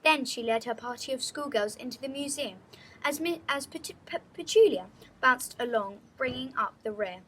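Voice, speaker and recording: A woman reading a children's book aloud as an expressive storytelling narrator. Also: high-pitched, normal speed, husky, rough recording.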